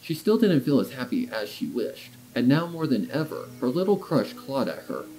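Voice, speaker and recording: Man narrating a story with lively intonation. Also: mid-range voice, moderate pace, a little hiss or hum, rough recording. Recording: background music under the voice.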